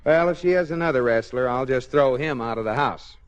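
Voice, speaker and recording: A man's voice: medium pitch, a little fast, smooth, natural voice. A man playing a teasing, exasperated, prudish suburban husband in a 1940s radio sitcom. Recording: muffled vintage radio broadcast.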